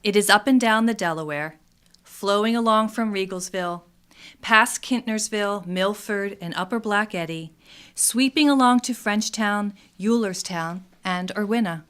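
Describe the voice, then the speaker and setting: A woman's voice: medium pitch, moderate pace, fairly bright tone, clear, resonant tone. A woman reading scripted narration as a presenter for a radio theatre troupe.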